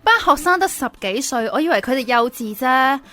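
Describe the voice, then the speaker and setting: A woman's voice: high-pitched, expressive, brisk, pushed and bright. A woman acting in a Cantonese radio drama as a conflicted 24-year-old teacher, with a Hong Kong accent. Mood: defensive, indignant. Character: 24-year-old female teacher, engaged, conflicted, secretly involved with student.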